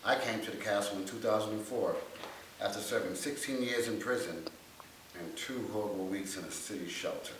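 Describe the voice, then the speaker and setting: A man's voice: lowish voice, a little fast. A man giving a rehearsed dramatic reading of his life story as a stage monologue. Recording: very echoey room, distant mic, faint hiss.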